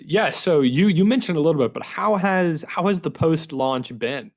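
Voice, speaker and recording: A man's voice: on the high side, expressive, normal speed, over music. A man hosting a podcast, interviewing a guest in a casual, conversational way.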